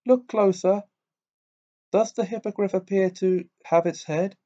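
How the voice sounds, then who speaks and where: A man's voice: steady tone, unhurried, dark tone. A man putting on a fluttery eccentric old fortune-teller woman. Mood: fussing, dreamy. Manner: breathy, fussing, high and fluttering. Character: dreamy eccentric older female divination teacher. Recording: dull lo-fi recording.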